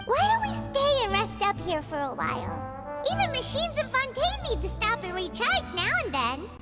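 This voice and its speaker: A tiny, chatty game fairy with an extremely high, squeaky little-girl voice. Her delivery swoops up and down, excitable and cartoonish, with loud music playing behind it. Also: moderate pace, heavily acted. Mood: cheerful, playful. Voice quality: squeaky, childlike. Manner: refers to self in third person, expressive. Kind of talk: cartoonish video game voice acting.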